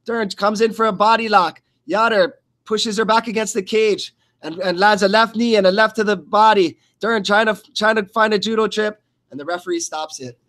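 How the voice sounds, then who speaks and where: Male play-by-play sports commentator, high register, blow-by-blow delivery with frequent stumbles and repeats. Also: steady tone, a bit bright. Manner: halting, repeats words and stumbles mid-phrase.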